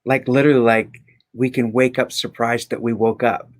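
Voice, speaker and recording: A man speaking as a guest in a casual inspirational chat, phrasing things deliberately and emphatically with repetition. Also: medium pitch, level tone.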